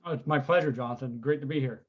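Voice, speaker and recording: A man in his forties from California, speaking as an interview guest, telling his story in an unhurried, thoughtful way with many ums and restarts. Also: mid-range voice, lo-fi sound. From United States, California. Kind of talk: conversational interview.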